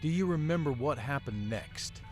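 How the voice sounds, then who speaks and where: A man voicing a grave, secretive professor and vampire hunter in a visual novel, blunt, measured and matter-of-fact. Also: mid-range voice, lively intonation, soft background music, pitched above the performer's own voice, lightly acted. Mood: grim. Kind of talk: visual novel character reading.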